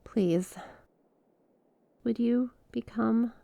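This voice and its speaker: A woman playing an earnest, awestruck stranded space pilot in an ASMR audio roleplay, speaking expressively and haltingly. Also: on the high side, no room sound, full character voice. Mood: solemn, pleading. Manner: expressive, halting, conversational, with small laughs and breathy exclamations. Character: stranded young female star scout pilot, awestruck and earnest.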